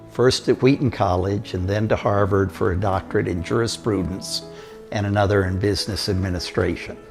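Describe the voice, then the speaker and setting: An older man from Costa Rica, telling his life story in a measured, steady delivery. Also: lowish voice, gravelly. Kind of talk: prepared autobiographical narration.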